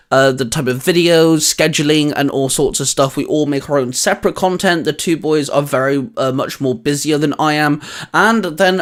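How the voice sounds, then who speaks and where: A man with an English accent hosting a casual, geeky chat, explaining things in a hesitant, rambling way. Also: on the high side. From England. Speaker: host of a fan discussion channel. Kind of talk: casual geeky discussion among friends.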